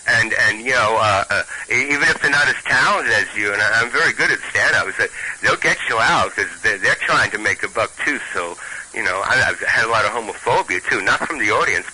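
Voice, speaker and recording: A man with a Brooklyn accent, a storyteller and stand-up comic, chatting haltingly with many restarts and reading a comic tale aloud. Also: fairly low pitch, pushed and bright, breathy edge, rough recording.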